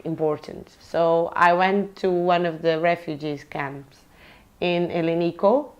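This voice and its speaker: A young woman from Portugal, a nurse, talking earnestly in a documentary interview, pausing often to find her words. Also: on the low side. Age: young adult. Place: London.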